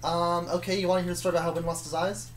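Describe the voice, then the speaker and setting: Casual storyteller, medium pitch, rambling and hesitant, self-correcting, faint background noise. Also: little pitch movement, rapid-fire. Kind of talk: casual storytelling about own fictional universe.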